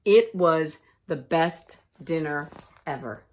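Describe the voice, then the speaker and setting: Slow, expressive woman reading a storybook. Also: low voice. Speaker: teacher reading aloud. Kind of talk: children's storybook read-aloud.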